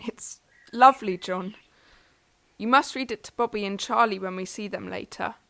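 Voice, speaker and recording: A woman's voice: mid-range voice, normal speed, creaky, a bit bright. A young woman playing an encouraging, hardworking farm daughter in an amateur audio drama.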